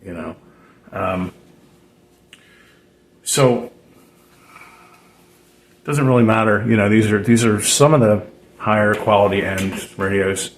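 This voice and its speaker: A man giving a casual, unscripted DIY how-to in a halting, rambling way, repeating himself as he explains. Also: on the low side. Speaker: DIY radio hobbyist presenter. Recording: roomy echo, noticeable background noise.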